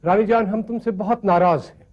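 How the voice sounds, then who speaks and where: High-voiced, pompous colonial sahib. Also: male voice, fairly even intonation, lo-fi sound. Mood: boastful, displeased. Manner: haughty, slow, declamatory. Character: pompous, imperious, easily offended British colonial sahib. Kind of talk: theatrical screen drama acting.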